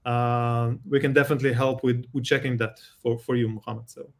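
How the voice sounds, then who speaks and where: A man's voice: medium pitch, flat delivery, a little fast, creaky. A man with an Israeli accent, a guest expert explaining things step by step in a live Q&A, with many fillers and restarts.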